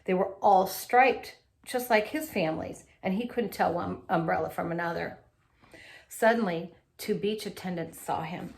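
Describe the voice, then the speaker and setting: A woman reading a children's picture book aloud in an animated, expressive way, adding little asides for young listeners. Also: on the low side, normal speed, gravelly.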